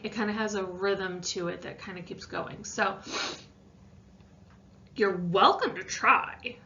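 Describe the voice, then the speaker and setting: A woman speaks as a science teacher walking students through an assignment. Her delivery is casual and conversational, with informal asides, at an average pitch. The recording is a little dull and lo-fi. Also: a bit bright. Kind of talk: explaining an assignment, informal lesson voice-over.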